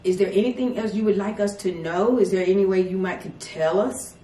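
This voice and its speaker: A woman, leading a paranormal investigation and asking a spirit slow, polite questions. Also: medium pitch, mellow. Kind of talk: spirit communication session. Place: dim salon at night. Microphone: far camera. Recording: echoey room from far off.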